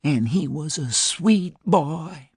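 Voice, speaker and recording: A male narrator voicing a frail woman over ninety who speaks slowly and softly as she remembers. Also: fairly high pitch, animated, husky, no echo, clean studio sound, voice pushed up, exaggerated voice. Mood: wistful. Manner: slow, soft, unhurried drawl.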